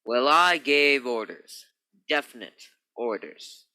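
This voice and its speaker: A man's voice: medium pitch, expressive, slow, pushed and bright, no echo, heavily acted, poor audio. A man acting a stern, logical spaceship captain in a radio play, commanding and insistent. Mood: angry, commanding. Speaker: actor in a student radio play.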